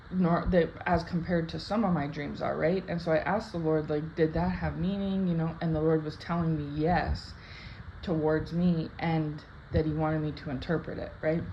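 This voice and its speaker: A woman casually interpreting a dream for her viewers, her voice slightly raspy from just waking up, rambling with many "like" and "right?" tags. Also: sits low. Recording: dull lo-fi sound with faint background hiss.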